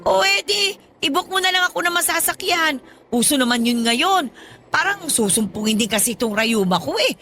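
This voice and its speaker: A woman's voice: high voice, animated, moderate pace, pushed and bright. A woman playing a demanding, wheedling older godmother with a Filipino accent, chatty and nagging in a radio drama. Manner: chatty, nagging, theatrically pleading. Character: demanding, wheedling older godmother guilt-tripping her goddaughter. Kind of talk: Tagalog radio drama acting. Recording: music behind the voice.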